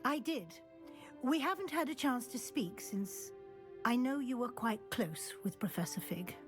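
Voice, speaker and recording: An actress playing a dignified, kindly middle-aged witch professor, speaking in a measured, earnest, formal way in a video game. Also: on the high side, animated. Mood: kindly, reassuring. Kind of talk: solemn dramatic speech, video game acting. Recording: music behind the voice.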